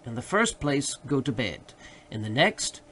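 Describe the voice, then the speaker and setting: A man voicing a worried, sensible gentleman friend who remonstrates earnestly and formally in a literary audiobook. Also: mid-range voice, sing-song, unhurried, slight background noise. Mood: concerned. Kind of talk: literary audiobook character dialogue.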